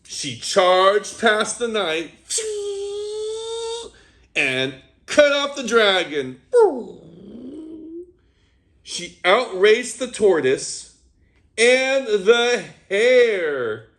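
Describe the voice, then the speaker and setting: A man reads a picture book aloud for young children, telling it as an animated storyteller. His voice sits high and swoops widely, and he keeps an unhurried pace. He stops now and then to talk to his young listeners. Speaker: children's read-aloud host.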